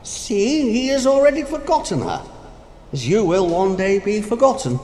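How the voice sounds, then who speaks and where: An actor playing Death personified as a sly, taunting figure with grandiose, mocking declamation in a stage-like audio drama. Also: male voice, high voice, sing-song, moderate pace, darkish tone. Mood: scornful. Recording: echoey hall, faint noise.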